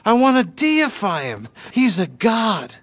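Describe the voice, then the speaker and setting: A man's voice: high voice, wildly swooping pitch, slow, exaggerated voice. A man playing a grandiose, fussy writer, florid and theatrical, in a video game cutscene. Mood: grandiose, exasperated.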